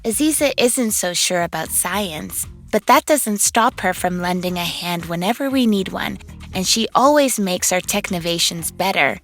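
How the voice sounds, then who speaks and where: A woman's voice: fairly low pitch, animated, moderate pace, fairly bright tone. A woman voicing an upbeat, confident young girl inventor, telling a children's read-along cartoon story in an enthusiastic, encouraging way. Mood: cheerful, proud. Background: music under the voice.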